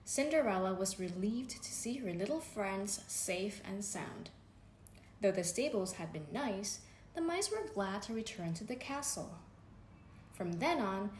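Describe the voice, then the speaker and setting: Woman narrator, lively pitch, bedtime storybook read in an echoey room with faint noise. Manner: gentle, expressive reading with voices for characters. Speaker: storybook reader.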